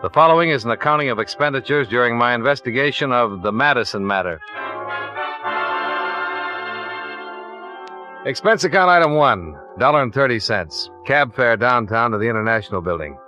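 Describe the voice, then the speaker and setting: Brisk American freelance investigator, 1950s radio detective drama, sharp bright delivery, old broadcast sound. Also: male voice, medium pitch, expressive. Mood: matter-of-fact. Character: brisk male freelance insurance investigator, cool and probing. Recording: vintage radio broadcast, faint music.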